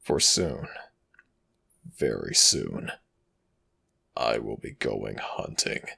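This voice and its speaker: A man voicing an ancient Egyptian death god in an ASMR roleplay, tender and protective but quietly vengeful, murmuring slowly and formally with a gravelly, breathy rasp. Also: a bass voice, animated, exaggerated voice. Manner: slow, hushed, formal archaic phrasing. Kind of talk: ASMR audio roleplay, intimate murmured acting.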